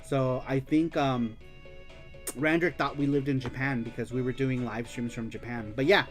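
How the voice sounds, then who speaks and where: A man from the United States hosting a live-streamed show, chatting casually as he reads viewer comments. He is animated, laughs often, swears freely and slips into Spanish. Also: medium pitch, normal speed, faint music behind. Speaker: host of a live-streamed retro gaming show.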